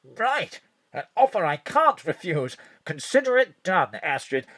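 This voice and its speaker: A performer playing a flirtatious spy chief, suggestive and teasing. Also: male voice, high voice, big pitch swings, unhurried, fairly bright tone, heavily acted. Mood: flirty. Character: flirtatious male spy chief, roused from sleep.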